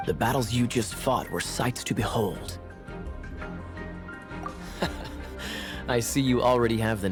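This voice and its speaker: A man playing a suave, teasing young knight captain in a video game, with a sly, smooth-talking, theatrical delivery. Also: on the low side. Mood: amused, smooth.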